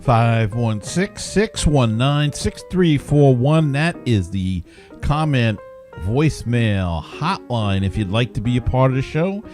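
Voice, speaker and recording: A man's voice: medium pitch, big pitch swings, slow pace, over music. Casual male sports podcast host.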